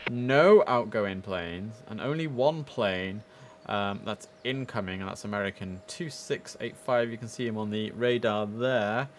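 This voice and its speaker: A British man hosts a casual game stream in an average-pitched voice. He talks informally and addresses his audience directly as he comments on the play. A faint bed of noise and ambience sits behind him. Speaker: livestream host and gamer. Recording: clear background noise and ambience.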